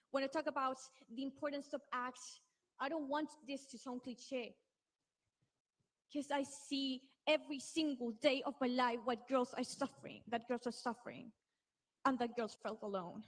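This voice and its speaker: Earnest teenage Chilean girl activist. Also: sits high. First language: Spanish. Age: teens. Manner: earnest, emphatic, with repetitions and restarts. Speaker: youth activist speaker. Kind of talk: impassioned speech on a panel.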